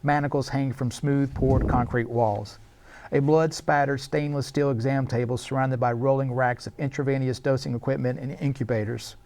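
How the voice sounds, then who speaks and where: A man's voice: medium pitch, steady tone, moderate pace, a little mellow, a little hiss or hum. A man reading stage directions as narrator at a live screenplay table read, in a scripted narration style.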